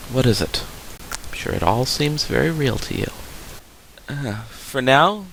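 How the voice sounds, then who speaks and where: A man playing a probing therapist doctor in a science fiction video game, with a measured, dry way of talking. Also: lowish voice, creaky, a bit bright, a little hiss or hum, exaggerated voice, rough recording.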